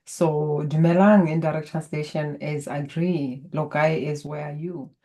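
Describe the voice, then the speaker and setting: Botswana-accented woman, native Setswana speaker, guest healer; low, warm, calm, measured delivery. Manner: calm, measured, spiritual guidance tone. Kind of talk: interview conversation.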